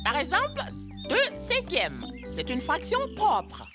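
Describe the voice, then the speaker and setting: Animated, high-pitched motherly cartoon teacher. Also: slow pace, heavily acted. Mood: explaining. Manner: emphatic, didactic. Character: bossy motherly female bird teacher, brisk and scolding. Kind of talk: dubbed children's educational cartoon. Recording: music under the dialogue.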